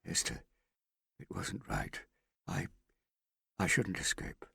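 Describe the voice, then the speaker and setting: A man's voice: lowish voice, measured pace, creaky, darkish tone, slightly rough, no echo, studio quality, pitched above the performer's own voice, exaggerated voice. A man doing a mumbling guilt-stricken father. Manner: mumbling, remorseful.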